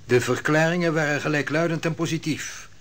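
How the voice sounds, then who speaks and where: An actor playing a stiff royal steward, speaking in a cool, formal, officious way in a vintage radio drama. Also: male voice, on the high side, fairly quick, a bit bright, lightly acted.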